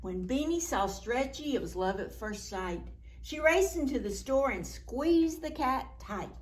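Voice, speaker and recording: A woman's voice: high-pitched, animated, unhurried. A woman reading a picture book aloud to preschoolers as a story time host, expressively, with asides and questions to her young listeners.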